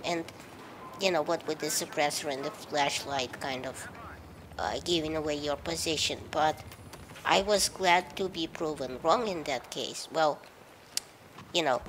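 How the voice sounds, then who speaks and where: A woman's voice: low voice, a bit bright, slight background noise, low-quality recording. A woman with a head cold giving casual, rambling, wry video game commentary, with hesitations along the way.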